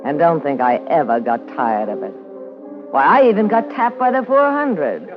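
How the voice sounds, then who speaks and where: Brassy, low-fi radio noir dame. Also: female voice, very animated, normal speed. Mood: wry reminiscing. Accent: American. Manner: tough, sardonic, slangy. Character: brassy, hard-boiled, wisecracking nightclub party girl, a blackmailer. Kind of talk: 1950s radio noir acting, narration and dialogue. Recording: muffled vintage radio recording with music.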